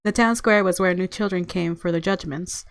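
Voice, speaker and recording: A woman's voice: lowish voice, normal speed, no echo. A woman hosting a gaming video, reading visual novel narration aloud expressively and reacting casually. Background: game music.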